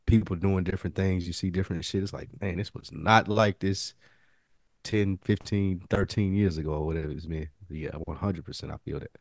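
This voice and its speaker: A man's voice: low voice, steady tone, a little fast, vocal fry, dry recording. An American man on a podcast panel giving blunt, colloquial opinions with casual swearing. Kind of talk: casual TV show discussion.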